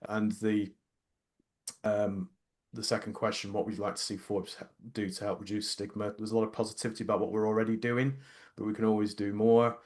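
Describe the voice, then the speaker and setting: Low-voiced man, halting and repetitive. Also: little pitch movement, a little mellow. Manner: hesitant, many repeated words and restarts. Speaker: podcast co-host. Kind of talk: casual workplace podcast chat.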